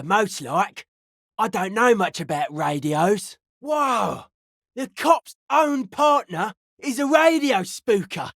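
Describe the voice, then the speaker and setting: An actor voicing a young, earnest, easily spooked counterculture club kid in a video game, with slangy, deadpan drawl. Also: male voice, sits high, no echo, clean studio sound, heavily acted. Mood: spooked, suspicious.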